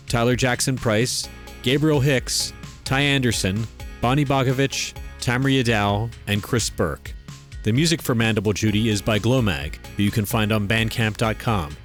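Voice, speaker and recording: A man reading a scripted announcement as show host, wry and measured. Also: medium pitch. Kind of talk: scripted narration.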